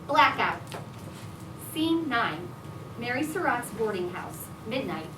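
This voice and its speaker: A woman's voice: fairly high pitch, sing-song, takes it slowly. A woman reading out stage directions as scripted narration at a live staged reading. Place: indoor restaurant staged reading. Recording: echoey live restaurant, distant, noisy.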